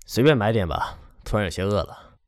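An actor playing a cool, quietly intrigued male company boss in a Chinese audio drama. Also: fairly low pitch, lively intonation, a little fast, no room sound, clean studio sound, close to an everyday voice. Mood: cool interest.

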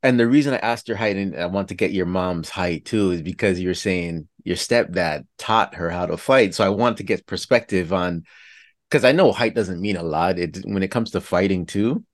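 A man's voice: low voice, moderate pace, no room sound, studio quality. A man in his forties hosting an interview, laughing often and asking long rambling questions. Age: 40s. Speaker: host.

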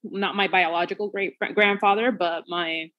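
A woman from Puerto Rico, a guest on a podcast, speaking in an unhurried, slightly nervous way and laughing often over a video call. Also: on the low side, steady tone, a bit bright.

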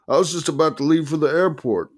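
A man's voice: on the high side, fast talker, voice pushed up, close to an everyday voice. A man voicing an exasperated, profane attorney scolding someone over the phone in a story reading. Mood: angry, exasperated. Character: deranged, shouting male attorney on a phone call. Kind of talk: audiobook character voice.

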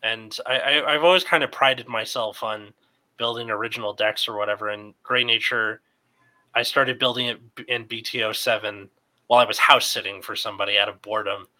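A man's voice: lowish voice, fairly even intonation, a little fast, sharp tone, low-quality recording. A man in his late twenties hosting a podcast in a loose, rambling chat full of fillers. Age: 20s. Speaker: podcast host. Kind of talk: casual chat.

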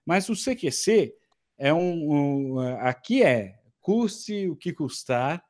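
Brazilian guy cohosting, kinda high voice, really up and down melody, chatty explaining. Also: very animated, slow pace, a little mellow. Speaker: co-host, former TV show worker. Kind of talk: casual chat with explanations for the audience.

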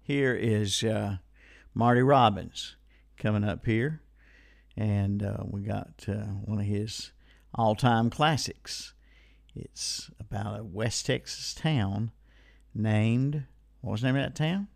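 Folksy male radio host with creaky voice. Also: fairly low pitch, slow pace, dry recording, clean studio sound. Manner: folksy, rambling, playfully repeats himself. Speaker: radio host of a call-in swap shop and music show. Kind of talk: casual live radio hosting. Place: radio studio. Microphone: close studio microphone.

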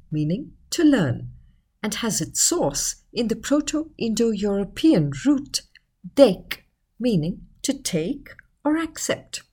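A woman reading a scripted educational article in a measured, explanatory manner. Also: mid-range voice, a little mellow, breathy edge. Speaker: article narrator.

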